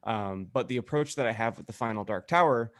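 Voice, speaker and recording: A man's voice: medium pitch, a little fast, fairly bright tone, no echo. A man co-hosting a casual podcast, talking in a wry, teasing, joking way. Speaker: podcast co-host. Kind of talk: casual group banter.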